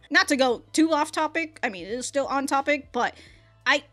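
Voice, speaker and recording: A woman's voice: high voice, expressive, bright, forceful tone, faint music behind. A woman in her thirties giving casual reaction commentary, blunt and profane, laughing often and stumbling through restarts and stammers. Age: 30s. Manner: halting, with many restarts and stammers. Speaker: anime reaction reviewer.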